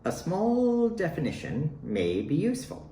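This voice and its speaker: A man reading his own poems aloud in deliberate, short declarative phrases with pauses between them. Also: fairly high pitch, huge pitch swings, mellow. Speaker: poet reading own work. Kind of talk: spoken poetry reading. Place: at home in a residence. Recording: roomy home recording with faint noise.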